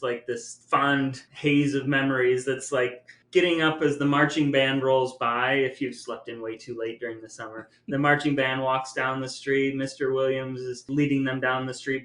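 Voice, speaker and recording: A man's voice: medium pitch, a bit bright. A middle-aged American man from small-town Ohio, reminiscing as a podcast host in an effusive, descriptive storytelling way. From small-town Ohio, United States. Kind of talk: reminiscing conversation. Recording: echoey room sound.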